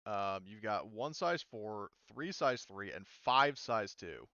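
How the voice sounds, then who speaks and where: A man running a tabletop game, explaining rules in a casual, halting, hedging way. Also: on the low side, big pitch swings, pushed and bright, no echo, dull audio. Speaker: game master.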